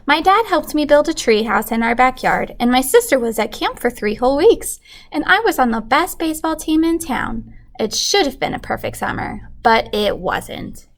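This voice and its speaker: A woman reading a picture book aloud to children in an animated way, pausing to put questions to young listeners. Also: high-pitched. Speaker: children's story reader.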